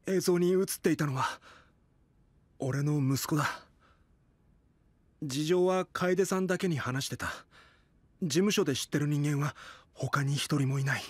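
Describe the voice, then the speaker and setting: A man's voice: on the high side, measured pace, pauses often, no room sound. A man acting an earnest, weary young idol in an anime-style audio drama, speaking casually and frankly. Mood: hesitant, confessing. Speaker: voice actor.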